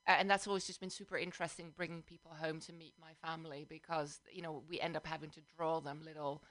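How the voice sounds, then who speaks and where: Low-voiced Dutch-born woman guest. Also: little pitch movement, quick pace, fairly bright tone, no echo. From the Netherlands. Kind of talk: casual chat.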